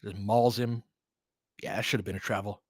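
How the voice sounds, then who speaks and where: A man giving informal, partisan live basketball commentary as host, excitable, with whoops and casual swearing. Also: fairly low pitch, moderate pace, husky, no echo, clean studio sound.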